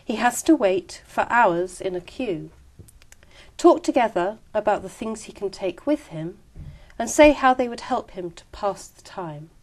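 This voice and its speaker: A woman runs a speaking exam with clear, polite, carefully measured prompts. Her pitch sits in an ordinary middle range. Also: lively intonation, darkish tone.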